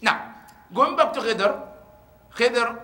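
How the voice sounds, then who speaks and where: A middle-aged man, a cleric answering questions in a mosque, explaining with animated gestures and reciting Arabic verses quickly. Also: very high-pitched. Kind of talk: religious Q&A teaching. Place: mosque prayer hall, seated on floor. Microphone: floor mic stand beside him. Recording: echoey prayer hall.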